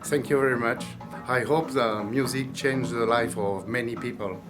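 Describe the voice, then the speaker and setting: A man with a French accent, giving a polite, halting and philosophical interview as a product maker. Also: mid-range voice. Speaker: amplifier maker being interviewed. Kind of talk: casual interview. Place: indoor trade show demo room. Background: guitar music.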